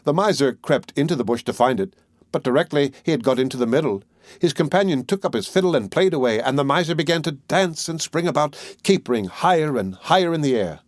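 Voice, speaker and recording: A man's voice: a little high, lively intonation, brisk, no room sound, clean studio sound. A man reading a fairy tale as a scripted audiobook narration.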